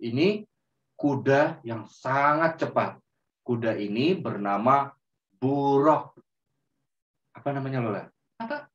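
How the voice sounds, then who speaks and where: A man telling a story to children in a gentle, drawn-out way, often turning to them as friends. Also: medium pitch, expressive. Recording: roomy, distant, dull sound.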